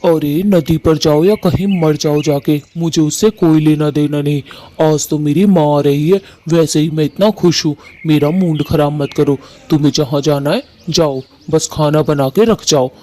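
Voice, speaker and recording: A man's voice: fairly high pitch, steady tone, moderate pace, warm tone, natural voice. A man voicing a cruel, grumpy husband in a cartoon, taunting and complaining. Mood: harsh. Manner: harsh, complaining. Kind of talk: Hindi cartoon voice acting.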